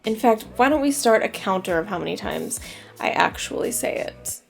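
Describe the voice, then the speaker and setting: Chatty female video host, gravelly fry, self-deprecating, soft music under her voice. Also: mid-range voice, expressive, moderate pace. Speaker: YouTube host. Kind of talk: commentary video narration.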